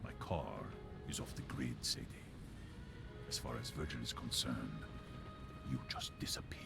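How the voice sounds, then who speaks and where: An actor playing a smug, menacing, corrupt police commissioner in a game audio drama, gravelly and condescending. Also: male voice, rumbling low, normal speed. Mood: smug menace. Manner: oily, condescending, threatening. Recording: loud music under the voice.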